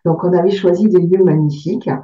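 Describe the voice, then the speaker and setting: A woman's voice: lowish voice, steady tone, a little fast, dark tone. A woman author from southern France answering interview questions, hesitant, with many fillers and repeated words. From Aveyron, southern France. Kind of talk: interview answers about her book. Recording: distant, roomy, dull sound.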